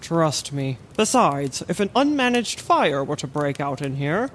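A woman's voice: sits low, very animated, a little slow, a little hiss or hum. A woman voicing a courteous, cautious male doctor in a fantasy audio drama, with a polite, dryly hesitant delivery. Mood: cautious, reassuring.

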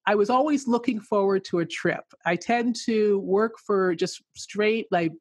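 Vrolijke, babbelende vrouw, droge opname. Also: female voice, medium pitch, a little slow, breathy edge, no room sound. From England and Canada. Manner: warm, chatty, laughs often. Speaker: guest author. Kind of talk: casual interview answers.

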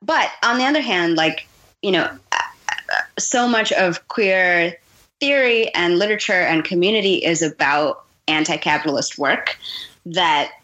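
A woman speaking as a guest in a podcast conversation, in a thoughtful way with frequent pauses. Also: lowish voice, expressive, a bit bright, dull audio. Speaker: guest, fiction writer and teacher.

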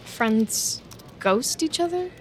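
An actress playing a needy, clingy young office worker in a scripted audio drama, hesitant and stammering. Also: female voice, high voice, expressive, slow pace, slight background noise. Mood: glum. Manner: hesitant, stammering, eager to please.

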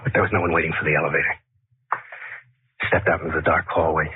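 An actor playing a nervous, obsessive killer who confides in a rambling, conversational way, in the manner of 1940s radio suspense narration. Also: male voice, very deep voice, level tone, fast, breathy edge, no echo, heavily acted. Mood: hushed, tense. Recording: scratchy, tinny 1940s radio recording.

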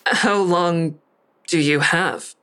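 Guarded, bitter adult daughter, low register, terse, dry studio sound, audio fiction. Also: female voice, drawn-out words, a bit bright, clear, resonant tone, dry recording. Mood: tense, confrontational. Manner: terse, confrontational. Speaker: lead actor narrating and playing a daughter. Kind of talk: audio drama acting with first-person narration.

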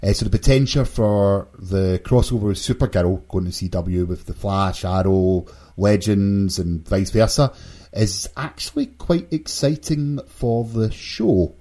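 Low, mellow-voiced man hosting casually. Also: measured pace, hardly any pauses. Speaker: podcast host. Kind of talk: casual news chat.

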